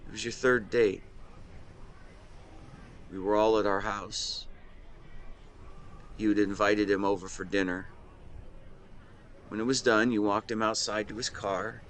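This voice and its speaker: Outdoor ambience sits faintly behind a lovestruck young man, as acted by a man with a lowish voice. He speaks earnestly and tenderly, in halting phrases, as in an intimate romantic audio drama. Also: fairly even intonation, fairly bright tone, a little hiss or hum. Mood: nostalgic, gentle. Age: young adult. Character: lovestruck 24-year-old man confessing love to his best friend. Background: seaside boardwalk ambience effects.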